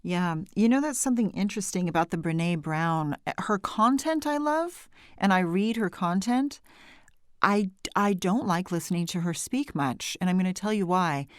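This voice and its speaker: A woman from California, hosting a conversation with a lowish voice and a light edge of vocal fry. She talks chattily, laughs often and corrects herself as she goes. The sound is dry and close. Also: lively intonation, normal speed, dry recording. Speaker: podcast host. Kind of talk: conversational interview.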